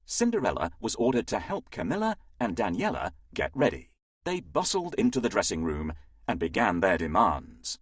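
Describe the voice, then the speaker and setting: A man narrates a children's story with hugely varied pitch. His voice is husky and recorded very dry and close, in an expressive storytelling style. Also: normal speed, dry recording.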